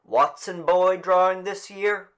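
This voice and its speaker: A man voicing a brisk, formal village official calling out to people in an audiobook. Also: high voice, steady tone, fairly bright tone, smooth, pitched above the performer's own voice. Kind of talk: audiobook character voice.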